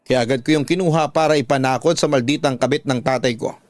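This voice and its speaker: A man's voice: medium pitch, fast talker, no echo, clean studio sound. A man with a Filipino accent, a radio drama host reading out a listener's letter as a dramatic story and giving advice.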